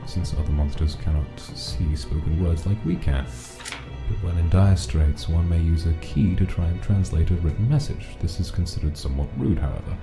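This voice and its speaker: Guy doing a deep patient old king voice, gentle comic dub, echoey with music under it. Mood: measured, pensive. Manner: gentle, unhurried, reassuring. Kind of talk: comic dub acting with reflective narration. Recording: roomy, clear music underneath.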